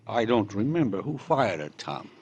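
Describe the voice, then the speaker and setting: Middle-aged American businessman father, rather low voice, formal, placating, evasive, faintly noisy old radio recording. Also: sing-song, moderate pace, darkish tone. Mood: guarded, placating. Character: smooth, guarded middle-aged father, a tax consultant. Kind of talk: 1940s-50s American radio drama acting.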